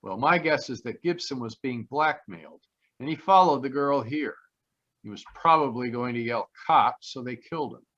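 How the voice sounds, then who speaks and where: A man's voice: medium pitch, normal speed, fairly bright tone, natural voice. A man playing a confident, wry private detective in an old-time radio drama, speaking in a clipped, assured way. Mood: assured, deducing. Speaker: actor. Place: live online video call. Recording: slightly dull video-call audio.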